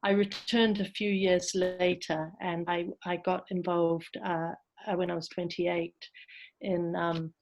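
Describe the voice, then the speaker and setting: A woman's voice: fairly low pitch, level tone, unhurried, lo-fi sound. A woman presents informally on an online call. Her delivery is reflective and somewhat hesitant, as if thinking her way through each point. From New Zealand. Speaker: presenter from a community organisation.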